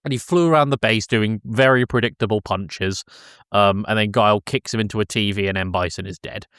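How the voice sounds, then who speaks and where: A man with a fairly low voice talks casually as a podcast co-host. He tells things in a jokey, loose storytelling manner. The recording is dry and close. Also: a little fast, fairly bright tone, clean tone, no room sound. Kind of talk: casual film recap chat.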